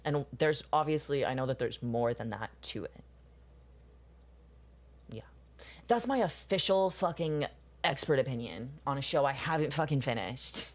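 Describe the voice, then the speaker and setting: A young woman in her twenties with a notably low voice, talking with her live viewers in a loose, rambling way. She swears freely and laughs often as she goes. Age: 20s. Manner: rambling, profane, laughs often, answers chat. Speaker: streamer chatting with viewers. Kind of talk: casual chat while drawing.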